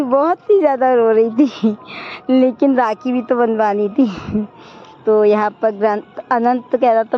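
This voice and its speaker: Hoarse young woman vlogging, kinda high voice, chatty and laughing bits, muffled lo-fi sound. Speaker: family vlogger. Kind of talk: casual vlog narration. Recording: dull lo-fi phone audio.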